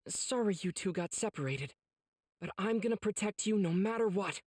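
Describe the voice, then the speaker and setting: A man's voice: sits high, animated, moderate pace, darkish tone, roomy sound. An actor playing an earnest, protective teenage demon slayer boy in an anime game, speaking with resolve and reassurance. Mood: gentle resolve. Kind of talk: dubbed anime game acting.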